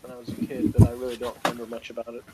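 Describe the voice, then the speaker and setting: A man with a medium-pitched voice talks as a review co-host. His delivery is casual and conversational, like ordinary chat. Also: monotone, racing, crowd noise.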